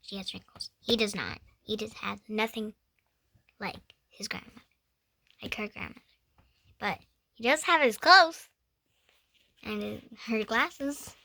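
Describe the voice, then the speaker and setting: A woman's voice: a little high, unhurried, vocal fry, long pauses, sharp tone, dry recording. A young girl reading a picture book aloud, stumbling over words and correcting herself, with playful asides. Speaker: storybook reader.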